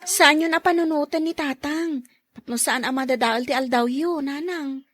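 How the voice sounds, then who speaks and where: A woman's voice: high-pitched, normal speed, fairly bright tone, no room sound. A woman playing a dutiful eldest daughter in a radio drama, speaking earnestly and pleadingly to her family. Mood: warm, grateful. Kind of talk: radio drama acting in Ilocano.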